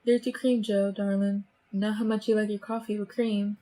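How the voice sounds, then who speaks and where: Meek, appeasing gangster's sidekick, played by a teenage girl, soft dark tone, faint noise behind. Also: mid-range voice, flat delivery, moderate pace, close to an everyday voice. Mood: placating. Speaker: student actor. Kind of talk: stage radio play acting.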